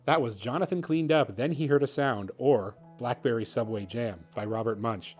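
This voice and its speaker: A man's voice: medium pitch, normal speed, darkish tone, faint music behind. A man hosting a story time, narrating a children's picture book expressively. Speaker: host reading children's picture books.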